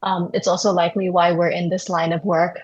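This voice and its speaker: Woman from Indonesia, young adult therapist-host; low-leaning voice, reflective and searching; lo-fi capture. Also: little pitch movement, a little fast, husky. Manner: thoughtful, searching, with many fillers and "right?". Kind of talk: reflective conversational interview.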